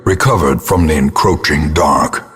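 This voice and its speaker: A man playing a grim old ancestor, narrating a gothic game in a grave, theatrical and portentous manner with a husky, gravelly voice. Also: very deep voice, a bit bright, exaggerated voice. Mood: grave resolve. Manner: grave, ornate, deliberate, portentous.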